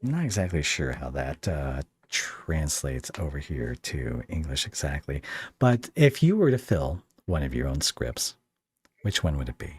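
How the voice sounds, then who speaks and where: A man hosting a casual interview podcast, halting and rambling as he thinks aloud and puts questions to a guest. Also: extremely low pitch, big pitch swings, husky, no echo.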